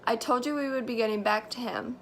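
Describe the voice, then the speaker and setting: A teenage girl giving a mock-serious school presentation, telling a made-up story in a deadpan, mock-formal way. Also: a little high, fairly quick, a little hiss or hum. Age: teens. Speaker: student presenter.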